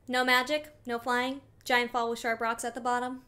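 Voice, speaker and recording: A woman voicing a guarded, sharp-tongued young pony princess in an animated fan dub. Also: on the high side, normal speed. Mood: dry, resigned.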